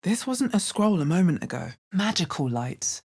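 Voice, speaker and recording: Female RPG adventurer: deep, rough-edged, practical, wry, understated asides. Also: very animated, normal speed, a little mellow, exaggerated voice. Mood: wry, focused. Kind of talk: video game character voice lines.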